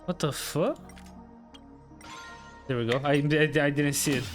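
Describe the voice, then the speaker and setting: A man gives casual live commentary as he streams a game. His voice is slightly high, and he talks slowly in impatient, sweary mutters. Faint music plays behind him.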